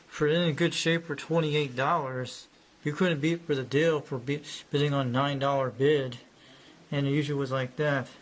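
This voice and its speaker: A man's voice: medium pitch, slight background noise. A man narrating casually as he shows off an old radio, rambling and repeating himself. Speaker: hobbyist showing a radio. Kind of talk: casual show-and-tell narration.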